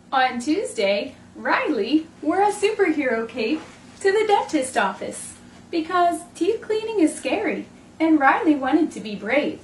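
High, lively woman reading a storybook. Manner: expressive, clearly paced delivery for young children. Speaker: children's storytime host. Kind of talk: picture book read-aloud for preschoolers. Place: indoors in a room, standing before backdrop. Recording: roomy, distant mic, faint noise.

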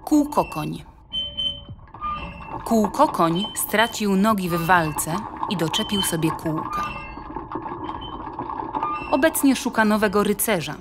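A woman's voice: mid-range voice, lively intonation, takes it slowly, long pauses, background noise. A woman reading scripted narration in the style of a nature documentary for an audio play. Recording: music behind the voice. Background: music.

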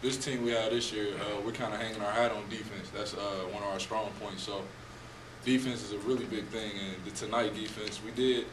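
A man's voice: lowish voice, fairly even intonation, fairly quick. A young man who plays college basketball, answering press questions in a plain, casual way. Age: young adult. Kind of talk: postgame press conference answers. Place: press room at a table before a team backdrop. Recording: echoey room, distant mic, noisy.

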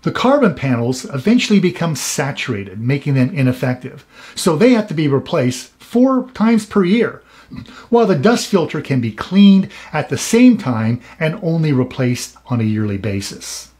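A man's voice: on the high side, very animated, moderate pace, darkish tone. Earnest man giving cautionary expert advice. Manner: earnest, cautionary, emphatic warnings. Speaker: salon industry expert hosting a show. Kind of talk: scripted advice talk to camera.

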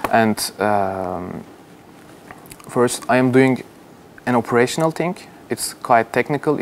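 A young man from Turkey with a Turkish accent, answering interview questions in a measured way with frequent hesitations, recorded on a lapel mic in an open-plan office. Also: mid-range voice, a little hiss or hum. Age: young adult. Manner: measured, gestures while explaining, frequent "uh". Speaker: university alumnus.

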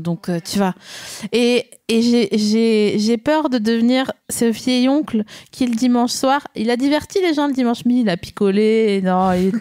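Playful chatty woman, slightly high voice. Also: a little mellow, no echo. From Mulhouse, France. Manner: playful, scattered, self-mocking. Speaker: podcast host. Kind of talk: casual chat.

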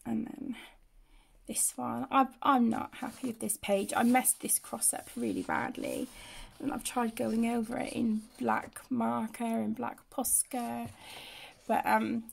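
Croaky woman with the flu. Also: fairly high pitch, animated, slow. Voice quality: hoarse, often drops to a whisper. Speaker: adult colouring channel host. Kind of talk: casual flip-through commentary. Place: indoors at a table.

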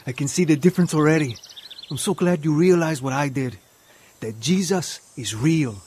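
An actor playing an excited, affectionate brother in a radio drama, encouraging and exclamatory. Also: male voice, on the high side, normal speed, slight background noise, lightly acted. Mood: joyful.

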